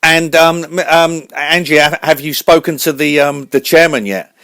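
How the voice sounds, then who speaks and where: A man voicing an excitable male radio host with a squeaky, shouty voice, in a comic radio spoof. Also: normal speed, no room sound. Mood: chatty. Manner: squeaky, shouty, gushing and giggly.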